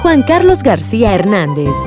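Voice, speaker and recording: A woman's voice: mid-range voice, very animated, normal speed. A woman reading a scripted announcement for a radio drama. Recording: music clearly behind the voice.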